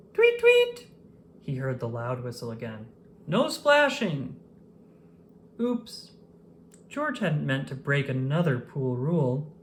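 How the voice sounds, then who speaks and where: Animated woman reading a storybook aloud. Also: extremely low pitch, a little hiss or hum. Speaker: storybook reader. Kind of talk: children's read-aloud narration.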